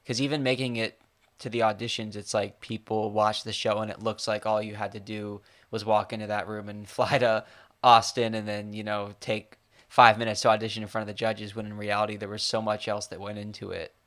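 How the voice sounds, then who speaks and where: A man hosting an interview over a call, chatty and supportive, thinking out loud as he asks questions. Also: fairly low pitch, little pitch movement, brisk. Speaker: podcast host.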